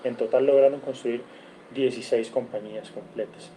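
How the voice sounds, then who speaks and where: Colombian male guest lecturer, deep warm dark tone, earnest, reels off numbers, faint background hiss. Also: fairly even intonation, moderate pace, rough recording. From Cali, Colombia. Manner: earnest, lists figures, frequent self-corrections. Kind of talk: online seminar lecture.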